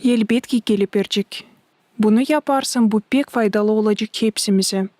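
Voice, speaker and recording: A woman voicing a patient mother who tells history to children in an animated cartoon, in a measured storytelling style. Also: mid-range voice, no echo, lightly acted. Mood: patient, instructive.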